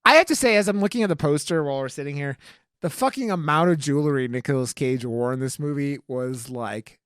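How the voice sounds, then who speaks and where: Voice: mid-range voice, brisk, clear, resonant tone, no room sound, clean studio sound. A podcast host in a casual film discussion, talking informally with plenty of swearing and slang.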